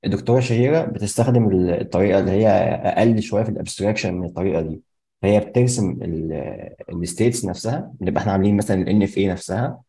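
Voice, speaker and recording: A young man with an Egyptian accent, a student politely explaining a point on a video call, pausing on fillers. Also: deep voice, warm tone. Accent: Egyptian Arabic. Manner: polite, hesitant with repeated fillers. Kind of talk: casual class discussion.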